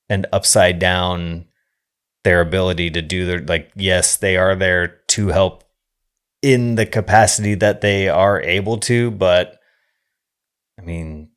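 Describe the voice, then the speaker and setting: A man co-hosting a casual film discussion, speaking in a halting, measured way with some swearing. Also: sits low, steady tone, a bit bright, clean tone. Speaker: podcast co-host reviewing films.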